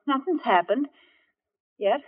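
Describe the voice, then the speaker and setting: A woman's voice: high-pitched, expressive, slow pace. An actress playing a plucky, wisecracking young dance-hall woman in American vintage radio thriller style. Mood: guarded. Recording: tinny old radio recording with music.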